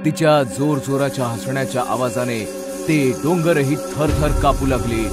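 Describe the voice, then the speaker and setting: A man's voice: mid-range voice, moderate pace. A man narrating a scripted horror story in a dramatic storytelling style, over music. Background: music under the voice.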